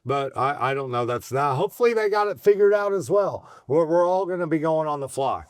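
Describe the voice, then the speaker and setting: A middle-aged man hosting a casual podcast, hoarse today, talking in a rambling, folksy, excitable way full of restarts. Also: fairly high pitch, fairly quick, no echo, clean studio sound. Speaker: host and bar owner.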